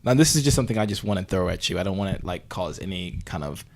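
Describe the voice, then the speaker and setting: A man's voice: deep voice, expressive, quick pace, creaky, heavily acted. A man playing an earnest, bumbling amateur podcast host who interviews in an awkward, hesitant way, avoiding any conflict. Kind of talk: mock investigative interview.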